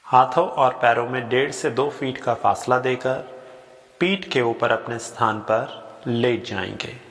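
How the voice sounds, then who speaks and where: Male meditation instructor: gentle, very slow delivery of short spaced-out instructions, voice carried in a long reverberant tail. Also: medium pitch. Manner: unhurried, gentle, short phrases with long pauses. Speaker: yoga nidra guide. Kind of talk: guided sleep meditation, slow spoken instructions.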